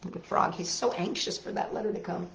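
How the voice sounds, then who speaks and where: A woman's voice: on the low side, brisk, creaky, a bit bright. Woman storytime host in a roomy recording. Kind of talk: storybook read-aloud with chatty asides to children. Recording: roomy, dull lo-fi sound.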